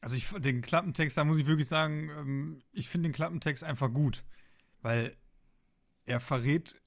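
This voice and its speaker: A man's voice: on the high side, normal speed, gravelly. A man co-hosting a fan podcast, giving his opinions in casual, colloquial talk and often searching for words. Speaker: podcast co-host.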